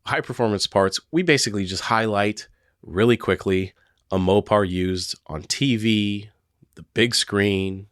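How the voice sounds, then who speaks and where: A man hosting a solo podcast, hoarse from a cold, chatting casually and rambling with lots of fillers and hedges. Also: fairly low pitch, a little slow, a bit bright, no room sound, studio quality. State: hoarse, getting over a cold. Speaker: podcast host.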